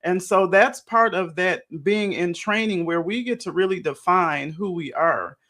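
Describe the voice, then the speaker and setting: A woman's voice: fairly low pitch, measured pace, a bit bright. A woman hosting a coaching interview, enthusiastically affirming her guest's answers before asking the next question. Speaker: host, life coach.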